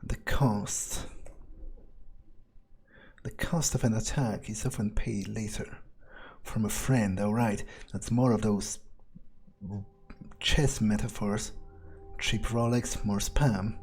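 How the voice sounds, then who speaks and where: A man's voice: fairly low pitch, steady tone, takes it slowly, long pauses, a little mellow, slight background noise. A man playing a cynical, menacing vampire who muses aloud in a brooding, profane way, as in-character game roleplay. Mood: musing. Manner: brooding, hesitant, profane musing. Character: cynical, menacing male vampire talking through his thoughts. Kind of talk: in-character gameplay roleplay monologue.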